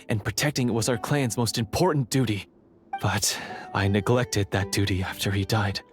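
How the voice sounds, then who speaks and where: A man's voice: on the low side, natural voice. An actor playing a guilt-ridden male hunter and fallen swordsman in a video game, speaking earnestly, with a hesitant, confessional manner.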